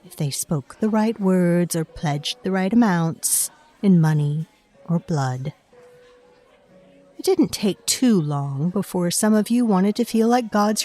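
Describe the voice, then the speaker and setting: Ancient fey storyteller lady, dark fantasy audio drama, real slow n deliberate, knowing, kinda scornful, faint music under. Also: on the low side. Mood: bitter, knowing. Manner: deliberate, confiding, addressing the listener directly. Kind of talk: scripted dark fantasy audio fiction narration.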